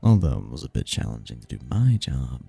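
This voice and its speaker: Smug, flirtatious demon prince played by a male actor, very dark husky voice, close dry sound, for ASMR roleplay. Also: big pitch swings, no echo, heavily acted. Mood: teasing, amused. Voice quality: low, gravelly, husky. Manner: teasing, smug, drawn-out flirtatious delivery. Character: smooth-talking seductive male demon prince, playful, teasing, bored. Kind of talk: audio roleplay, ASMR-style voice acting.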